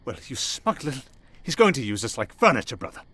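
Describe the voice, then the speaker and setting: A man's voice: mid-range voice, big pitch swings, fast, pushed and bright, slightly rough, full character voice. A man playing a smug, sarcastic vampire noble in an ASMR fantasy audio drama, talking in a flippant, theatrical way. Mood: indignant, sarcastic.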